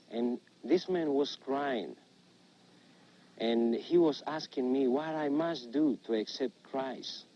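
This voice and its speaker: A man whose first language is Russian, giving an earnest personal testimony in simple phrasing, heard through dull, lo-fi old film sound. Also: medium pitch. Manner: earnest, simple phrasing with small grammar slips. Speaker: interviewee in archive documentary.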